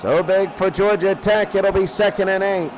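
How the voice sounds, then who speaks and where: A man's voice: sits high, steady tone, moderate pace, a little hiss or hum, poor audio. A man doing live radio play-by-play football commentary in clipped phrases that he often repeats. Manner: repeats phrases, clipped present-tense calls.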